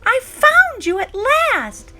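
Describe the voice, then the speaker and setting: A woman voicing a shy, excitable young male groundhog in a children's storybook. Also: very high-pitched, slow pace, voice pushed up, exaggerated voice. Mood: excited. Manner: stammers when frightened, exclaiming.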